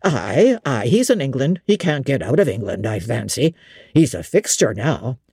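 A woman's voice: very deep voice, sing-song, moderate pace, dark tone, slightly rough, no room sound, clean studio sound, voice pushed down, heavily acted. A woman voicing an old male country servant, who tells a grim tale in old-fashioned dialect. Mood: grave. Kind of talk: dramatic audiobook reading.

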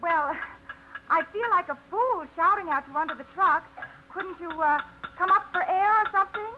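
A woman's voice: very high voice, moderate pace, a little hiss or hum. An actress playing a shy, hesitant young woman who stumbles over her words, in a 1950s radio drama. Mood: timid. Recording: muffled 1950s radio broadcast.

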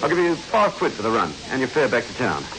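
An actor playing a genial but hesitant truck owner in a 1960s radio drama, stumbling through his offers with frequent pauses. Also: mid-range voice, very animated, crowd noise, poor audio. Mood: hesitant, businesslike. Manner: hesitant, full of pauses and uhs. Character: genial, shifty-hesitant male truck owner hiring a driver.

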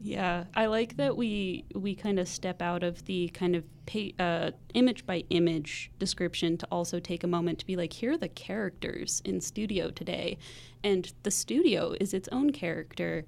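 A woman's voice: on the low side, normal speed, gravelly, darkish tone. A woman co-hosting a podcast, chatting casually with frequent ums. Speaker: podcast co-host.

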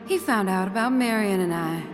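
An actress playing a brazen, hot-tempered country wife in an audio drama, blunt, folksy and theatrical. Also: female voice, mid-range voice, moderate pace, natural voice. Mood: menacing, proud. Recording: long hall reverb, music behind.

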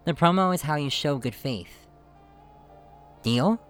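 A man playing a conciliatory, smooth-talking producer in a scripted drama. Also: fairly high pitch, lively intonation, takes it slowly, a bit bright, faint music behind. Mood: placating. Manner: placating, persuasive.

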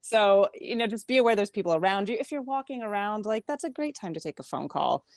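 A woman's voice: medium pitch, expressive, quick pace, no room sound, studio quality. Wry, chatty woman reporter. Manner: chatty, wry, laughs easily. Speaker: technology reporter, guest. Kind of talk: radio interview.

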